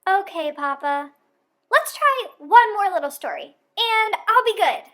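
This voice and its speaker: A woman voicing an eager, excitable little girl chicken in a children's picture book read-aloud. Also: very high voice, a little slow, pitched above the performer's own voice, exaggerated voice. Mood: apologetic, insistent.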